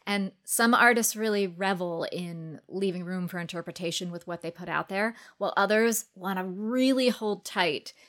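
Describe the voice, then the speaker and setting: A woman's voice: medium pitch, moderate pace, hardly any pauses, a bit bright. A woman guest-hosting a podcast, talking in a thoughtful, articulate, conversational way. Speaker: guest host, writer and actress.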